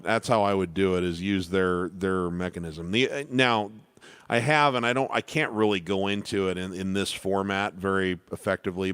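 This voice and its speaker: Baritone man, piano teacher, loose rambling delivery with restarts, very low and smooth, close dry sound. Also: dry recording. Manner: relaxed, rambling with restarts and fillers. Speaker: piano teacher hosting live Q&A. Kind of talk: casual live-stream teaching.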